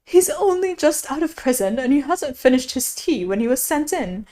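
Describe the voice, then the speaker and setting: A woman's voice: high voice, big pitch swings, moderate pace, mellow, voice pushed up. A woman voicing an affectionate, chatty male messenger in a storybook, speaking in a fond, confiding way.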